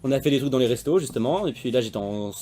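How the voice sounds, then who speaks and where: Young French magician type, talks fast and loose, casual slang, mid voice. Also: male voice, mid-range voice, animated, dark tone, faint music behind. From France. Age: young adult. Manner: fast slangy rambling talk. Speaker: magician guest. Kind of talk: casual interview chat.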